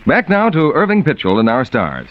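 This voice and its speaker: Male 1940s radio announcer: very animated intonation, mid pitch, polished emphatic sell, muffled old broadcast. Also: normal speed. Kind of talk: 1940s scripted commercial announcing and narration.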